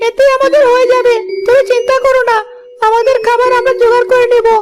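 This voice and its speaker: Very high, earnest little boy, played by a woman. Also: level tone, fast talker. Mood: earnest, protective. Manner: earnest, pleading. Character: loyal, protective little village brother. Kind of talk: cartoon story acting. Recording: prominent music behind the voice.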